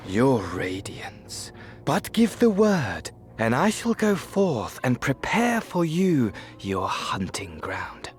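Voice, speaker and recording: Theatrical game villain, unhinged and melodramatic, wildly varied pitch, with prominent music underneath. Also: male voice, wildly swooping pitch, slow pace. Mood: hushed, servile. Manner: grandiloquent, melodramatic, swooping from shrill glee to hushed menace. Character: flamboyant, theatrical, mad male villain, servile yet mocking. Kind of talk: theatrical video game voice acting. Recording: music behind the voice.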